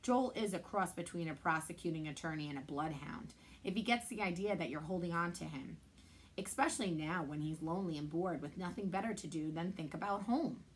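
Librarian in her thirties, steady read-aloud voice, camera mic in a library room. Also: on the low side, a little hiss or hum. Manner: steady, measured storytelling. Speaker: children's librarian. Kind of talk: reading a chapter book aloud.

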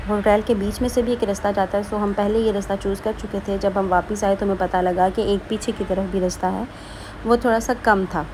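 A woman's voice: mid-range voice, background noise. A woman narrating a casual travel vlog, chatty and keen to recommend places to her viewers. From Pakistan. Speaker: travel vlogger.

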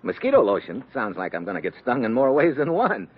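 An American actor playing a tough, wry secret agent and narrator in an old radio adventure. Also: male voice, medium pitch, huge pitch swings, fairly quick, slightly rough, phone-quality sound. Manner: wry, hard-boiled, sardonic. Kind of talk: 1950s radio adventure acting. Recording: old band-limited radio recording with music.

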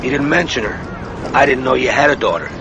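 Earnest troubled priest played by an actor. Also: male voice, mid-range voice, lively intonation, fairly quick, dull audio, crowd noise, natural voice. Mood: polite, guarded. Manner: earnest, sober. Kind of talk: radio drama acting. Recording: noisy radio play recording.

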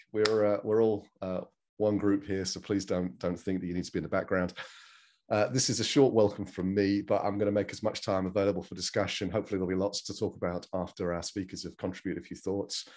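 Guy hosting an online meeting, kinda low voice, chatty and welcoming, on a video call. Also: a little mellow. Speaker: webinar host and moderator. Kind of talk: informal welcome and moderating. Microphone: video call microphone.

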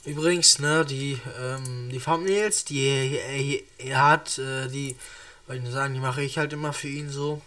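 A man's voice: medium pitch, steady tone, normal speed, bright, forceful tone, far from the mic. A young man with a cold, chatting casually and rambling through a reaction video from a small room. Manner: rambling, many restarts and fillers. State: has a cold. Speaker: reaction streamer.

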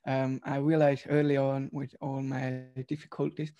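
A man's voice: mid-range voice, flat delivery, moderate pace, mellow, dull audio. A man in his thirties from Switzerland, a podcast guest telling his life story over a video call, hesitant with many fillers. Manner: hesitant, many uhs, slightly non-native phrasing. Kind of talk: personal storytelling interview. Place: home room, video call.

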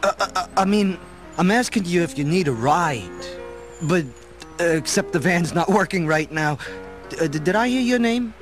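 Radio-play sweetheart: young, bashful, earnest New Yorker, high lively voice. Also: male voice, moderate pace, faint music behind. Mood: nervous. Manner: stammering, earnest. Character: shy, awkward, eager young Brooklyn man.

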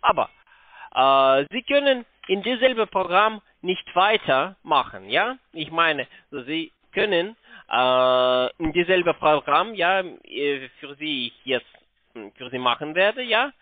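A man with a foreign accent speaking halting, non-native German as a call centre agent, hesitant and repetitive, often seeking confirmation. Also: fairly high pitch, lively intonation, no room sound. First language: not German. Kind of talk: improvised sales talk on a phone call. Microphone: telephone. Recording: muffled narrow-band phone line.

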